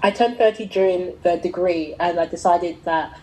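A middle-aged woman with a slightly low, very mellow voice. She answers interview questions conversationally, thinking aloud and reshaping her sentences as she tells her story. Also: normal speed, poor audio. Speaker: interviewee, fashion entrepreneur.

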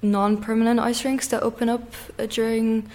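A woman in her late teens from Ireland and Russia, answering interview questions conversationally and pausing to find her words. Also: on the high side, little pitch movement, darkish tone. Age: teens, around 17. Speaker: interview guest, competitive figure skater.

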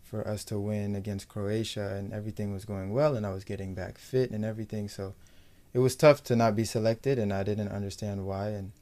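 A young man from the United States, a professional footballer, talking casually and matter-of-factly in a sports interview, in a measured way. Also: fairly low pitch, level tone, dark tone. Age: young adult.

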